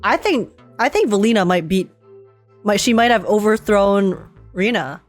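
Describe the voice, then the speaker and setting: A woman reacting casually to a game on a livestream, with an excitable, giggly and playful manner. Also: mid-range voice, normal speed, soft background music. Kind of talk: casual live gaming reaction.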